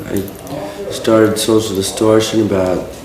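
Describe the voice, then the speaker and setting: A young man with a low, monotone voice speaks haltingly, stopping and restarting mid-thought. He sounds like a punk singer giving a casual documentary interview. The room is echoey and music plays behind him. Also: a crowd in the background. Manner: halting, searching for words, restarts often. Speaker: punk band founder and singer.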